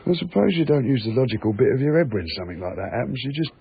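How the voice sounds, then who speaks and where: An actor playing a British railway tunnel night worker in a radio drama, talking in a matey, musing way. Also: male voice, mid-range voice, very animated, rapid-fire, exaggerated voice. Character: chatty, anecdote-loving British railway tunnel night worker, uneasily fascinated. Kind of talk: naturalistic radio drama acting. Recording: lo-fi phone-like radio recording, clearly noisy.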